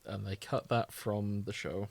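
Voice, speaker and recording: A man's voice: on the low side, fairly even intonation, a little slow, gravelly, darkish tone. A man co-hosting a podcast, chatting casually in a jokey, wry way. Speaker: podcast co-host. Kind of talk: casual chat.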